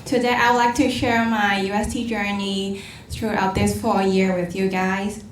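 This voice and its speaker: A woman's voice: mid-range voice, level tone, moderate pace. A young woman in her early twenties with a Hong Kong English accent talks to an audience in a friendly, direct way. She sounds some distance from the microphone, and the lecture hall around her is echoey. A faint hum runs under the voice. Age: early 20s. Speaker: student speaker. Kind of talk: prepared talk to an audience.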